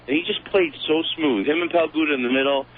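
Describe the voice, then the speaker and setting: A man's voice: fairly high pitch, fast talker. A man commentating on a sports radio show, chatty and joking, with stumbling restarts. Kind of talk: casual radio chat. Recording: tinny phone line, faint hum.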